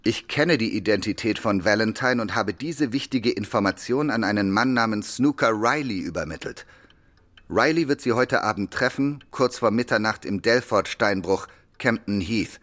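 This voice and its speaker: A dutiful police major in a radio drama, with a somewhat low voice. He is measured, serious and formal toward his superiors. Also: expressive, a bit bright. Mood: formal, reading aloud. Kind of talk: German radio play acting.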